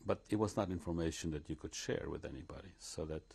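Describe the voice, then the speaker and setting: An older man from Poland, seated in a living room with a lapel mic, recounting his memories slowly and reflectively, with many pauses and restarts. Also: deep voice, gravelly, darkish tone, breathy edge. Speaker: interviewee.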